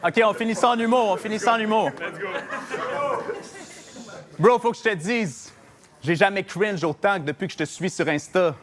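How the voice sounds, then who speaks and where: A man's voice: sits high, normal speed, long pauses, fairly bright tone. A man with a Québécois French accent, a battle rapper delivering mocking a cappella punchlines. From Quebec. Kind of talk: a cappella rap battle verses. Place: live battle venue with audience.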